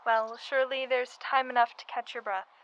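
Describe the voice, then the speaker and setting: Highish, proper lady doctor played by a woman, noisy ambience. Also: level tone, normal speed, fairly bright tone, highs cut off, close to an everyday voice. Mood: concerned. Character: brisk, watchful 1910s female hospital doctor.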